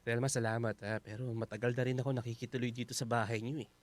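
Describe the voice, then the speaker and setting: A man's voice: mid-range voice, little pitch movement, quick pace, natural voice. A man with a Filipino accent playing a humble, grateful houseguest in a radio drama, with a polite, self-effacing manner.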